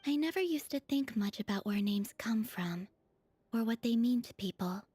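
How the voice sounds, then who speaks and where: An actress voicing an enigmatic, gentle young maiden in a video game, soft and breathy, with a calm, reflective delivery. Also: female voice, fairly high pitch, moderate pace, no room sound. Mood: wistful.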